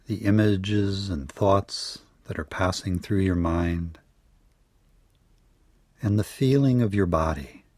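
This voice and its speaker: A man with a fairly low voice guides a meditation at a very slow pace. His delivery is soothing and measured, with phrase endings that trail off softly. The sound is dry and close. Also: no echo. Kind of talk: guided yoga nidra meditation.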